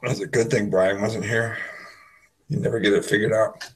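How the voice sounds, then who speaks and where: A man's voice: on the low side, steady tone, moderate pace, slight background noise, poor audio. A man co-hosting a casual radio show with joking banter, talking into a computer's built-in microphone in a big empty room. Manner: wisecracking.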